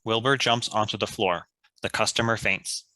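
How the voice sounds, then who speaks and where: Male narrator for a script read: lowish, bright, forceful tone, very dry recording, stage directions. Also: fairly even intonation, moderate pace, dry recording.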